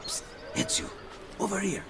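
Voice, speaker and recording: An actor playing a gentle, apologetic Renaissance inventor in a video game, speaking courteously and confidingly with an Italian accent. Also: male voice, high voice, huge pitch swings, moderate pace, music in the background. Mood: furtive, friendly. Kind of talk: dramatic video game cutscene acting.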